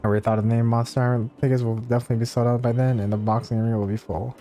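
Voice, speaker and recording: A man's voice: medium pitch, little pitch movement, racing, mellow, natural voice. A man reading aloud the game lines of a boastful, money-minded boxing promoter in a self-important manner. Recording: game music behind.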